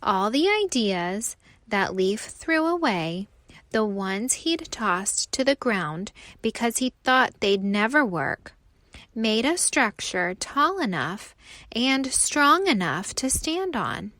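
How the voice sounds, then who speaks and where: A woman narrating a children's storybook aloud with expressive, deliberate phrasing. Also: a little high, no echo.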